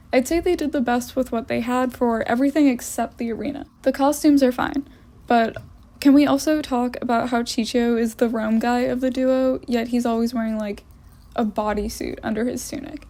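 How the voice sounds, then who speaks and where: A woman's voice: on the high side, mellow. A young woman giving a casual, wry voice-over review of films for her art vlog. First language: English. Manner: wry, opinionated.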